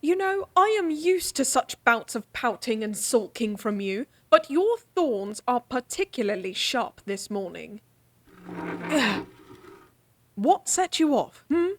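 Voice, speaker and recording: A woman playing a candid, devoted lady-in-waiting in a fantasy audio drama, speaking formally with a gently teasing, coaxing manner. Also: on the high side, sing-song, takes it slowly, full character voice. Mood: teasing, prodding.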